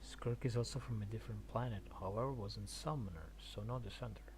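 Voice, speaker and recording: Halting male game streamer, faint background noise. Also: on the low side. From Argentina. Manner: halting, repeats words, thinks aloud. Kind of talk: casual rambling stream chat about games.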